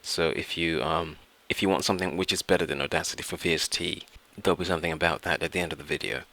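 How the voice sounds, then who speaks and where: Deep-voiced, bright-toned man presenting. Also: steady tone, fast talker. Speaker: tutorial presenter. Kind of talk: informal software tutorial narration.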